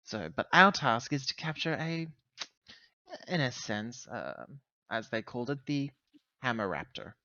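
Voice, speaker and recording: Guy as hesitant sci-fi agent explaining orders at a tabletop game, mid pitched bright voice, kinda dull lo-fi mic. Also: sing-song, unhurried, dry recording, heavily acted. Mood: hesitant briefing. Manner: hesitant, searching for words. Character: earnest, hesitant corporate agent briefing the crew. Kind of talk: improvised tabletop roleplay.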